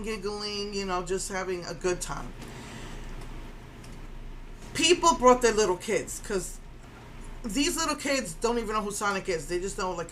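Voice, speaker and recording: Brief: fifties woman, Puerto Rican roots, fired-up storyteller, bright forceful tone, faint hiss behind. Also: medium pitch, rough recording. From Puerto Rico. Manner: animated, indignant, strong voice. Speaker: vlogger. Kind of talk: casual storytelling rant.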